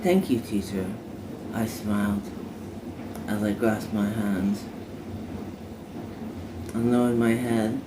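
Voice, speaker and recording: A man reciting poetry in a dramatic spoken word style, in deliberate short phrases with emphatic stresses. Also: on the low side. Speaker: poet reciting own poem. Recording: clearly noisy recording, ambient background.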